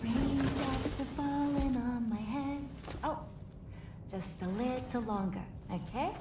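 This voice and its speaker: An actress playing a gentle young woman in a game, singing softly and murmuring soothingly. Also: high voice, slow pace, heavy background noise. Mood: tender, soothing. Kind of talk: soft singing and murmured talk in cinematic game acting.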